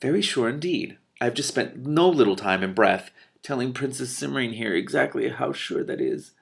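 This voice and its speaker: A man's voice: medium pitch, expressive, normal speed, gravelly, pitched above the performer's own voice. A man voicing a pedantic, easily miffed but curious magician in a storybook, with wordy, formal phrasing. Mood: put out. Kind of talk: expressive audiobook-style character reading.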